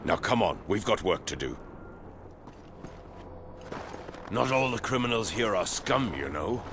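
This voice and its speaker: An actor playing a gruff, joking chief of a fantasy watch patrol in a video game, teasing and sardonic. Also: male voice, on the low side, very animated, moderate pace, noisy background. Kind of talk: video game cutscene acting.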